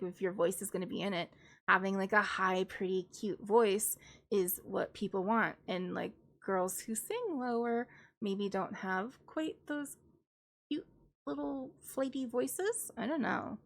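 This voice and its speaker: Chatty young woman thinking aloud. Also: medium pitch. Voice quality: slightly nasal at times. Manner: thinks aloud, opinionated, analytical about singing. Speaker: classical vocalist streamer. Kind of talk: casual live-stream reaction commentary.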